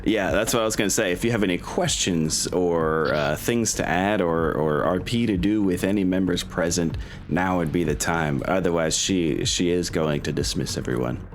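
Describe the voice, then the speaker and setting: A man acting as game master, chatting and narrating casually, haltingly thinking aloud as he goes. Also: deep voice, slight background noise. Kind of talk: casual tabletop roleplay narration and chat.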